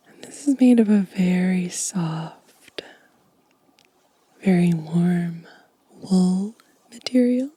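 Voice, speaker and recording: A woman softly whispering as an ASMR host, gentle and unhurried, with a breathy voice close to the microphone. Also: lowish voice, no room sound. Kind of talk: soft-spoken whispered ASMR show and tell.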